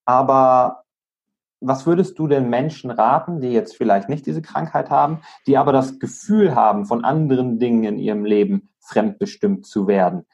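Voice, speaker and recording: A man hosting a conversational interview in a measured, reflective, polite way, with muffled sound. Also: mid-range voice, gravelly, a little mellow. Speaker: podcast host, interviewer.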